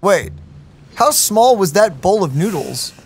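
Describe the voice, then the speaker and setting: A woman's voice: mid-range voice, very animated, takes it slowly, husky. A woman co-hosting a let's play, chatting casually as she reacts to the game. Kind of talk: casual gameplay reaction chat. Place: indoor room, game audio behind.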